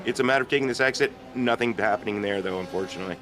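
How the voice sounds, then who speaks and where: A man giving measured color commentary on a sim race, reading grid positions and pausing with "uh". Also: fairly low pitch. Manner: measured, matter-of-fact, with frequent "uh" pauses. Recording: noticeable background noise.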